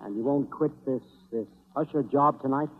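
Stern, controlling fiancé, played by a male actor: insistent, husky, 1930s radio acting, narrow tinny sound. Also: mid-range voice, normal speed, close to an everyday voice. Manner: firm, clipped, insistent. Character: stern, possessive fiancé giving an ultimatum.

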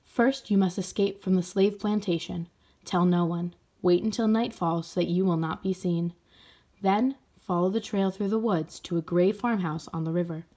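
A woman voicing a gentle, solemn aunt who guides a child in a storybook, soft and measured. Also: lowish voice, fairly even intonation, natural voice. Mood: soft, instructive.

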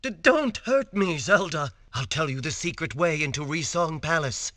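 A man's voice: fairly high pitch, expressive, normal speed, a bit bright, no echo, studio quality. A man voicing a pompous, fussy older mayor in a cartoon game. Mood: cowering. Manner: fussy, cowering.

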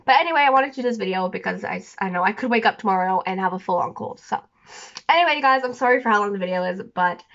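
A young woman chats to her camera in a small bedroom, rambling with many fillers and restarts. Her voice sits a little high and sounds bright, though sniffly and scratchy from a coming cold. The audio is dull and lo-fi, like a phone recording. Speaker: vlogger. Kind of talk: rambling casual chat to camera.

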